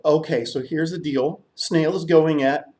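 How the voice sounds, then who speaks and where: A man's voice: fairly high pitch, fairly even intonation, measured pace, mellow. A man from the United States telling a story to young children as a puppet show host.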